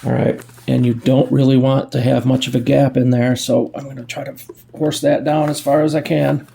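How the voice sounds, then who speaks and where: A man's voice: medium pitch, little pitch movement, normal speed, gravelly, dark tone, slight background noise. A man giving a casual hands-on tutorial in a folksy way, thinking aloud as he works, recorded on a phone. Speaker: amateur DIY video maker.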